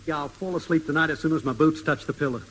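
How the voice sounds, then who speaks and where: An actor playing a laid-back, wisecracking Australian sidekick in an old British radio comedy. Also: male voice, on the high side, quick pace, a bit bright, close to an everyday voice. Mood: weary. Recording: hissy old radio recording, reverberant.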